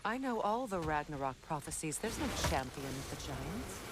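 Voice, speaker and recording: A proud, world-weary goddess and mother speaks in a lowish voice. Her delivery is formal and bitter, in the dramatic manner of a fantasy video game, with clear noise behind her. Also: sing-song, moderate pace. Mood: firm, warning. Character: proud, embittered Norse goddess, a grieving mother.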